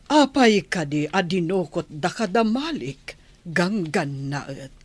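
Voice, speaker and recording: An authoritative noble mother in a radio drama. She speaks slowly and composedly, with lively pitch movement. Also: breathy edge, no room sound, full character voice. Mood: stern, composed. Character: authoritative noble village mother, calmly questioning. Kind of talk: Ilocano radio drama acting.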